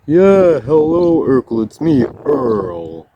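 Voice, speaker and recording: A young man playing a silly, self-styled traumatized veteran in an amateur comedy skit, jokey and switching between loud lines and mutters. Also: mid-range voice, very animated, slow, dull audio, a little hiss or hum. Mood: goofy. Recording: loud music behind voice.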